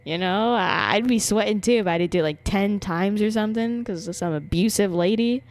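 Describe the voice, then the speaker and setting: A woman giving casual, slangy live reactions as a game streamer, expressive and giggly, swearing freely. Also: medium pitch, brisk.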